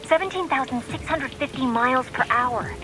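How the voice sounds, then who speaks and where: A woman acting as a brisk, confident technical support specialist in a video game. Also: high voice, animated, music in the background. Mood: confident, precise.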